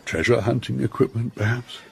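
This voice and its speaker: An actor playing a genteel, cautious older colonial governor in a radio drama, with a British accent and an urbane, fussy manner. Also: male voice, mid-range voice, a little fast, creaky, slightly rough. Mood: reluctant, placating.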